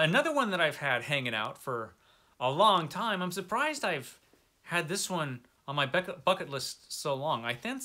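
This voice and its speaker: A man's voice: on the high side, pushed and bright. A middle-aged man talking casually to viewers as a book vlogger, rambling along with wry, self-deprecating asides. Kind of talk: casual chat to camera, book recommendations.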